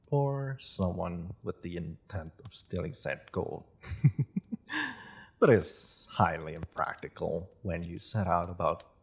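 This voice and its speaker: A man voicing a gentle, courteous dragon host in a roleplay audio drama, speaking politely and a little hesitantly to the listener. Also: low-pitched, creaky, slightly rough, full character voice. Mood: pleased, chatty. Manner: polite, refined, hesitant, softly chuckling host. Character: courteous, gentle dragon hosting tea in its cave.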